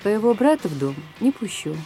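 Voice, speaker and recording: An actress playing a sharp-tongued, bossy married hostess at a country house in a radio play. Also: female voice, medium pitch, very animated, a little fast, faint music behind. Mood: angry, scolding. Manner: chatty, bossy, exclamatory.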